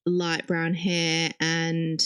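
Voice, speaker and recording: A woman's voice: low voice, completely flat delivery, very slow, smooth. Friendly woman co-host. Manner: friendly, laughs lightly. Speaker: podcast co-host. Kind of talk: casual chat.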